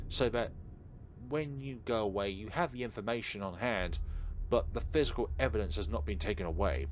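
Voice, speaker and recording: A man reading a visual novel aloud as a let's-play host, theatrical and drawn-out, with dry ad-libbed asides. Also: fairly low pitch. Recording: prominent game music behind the voice. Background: video game music.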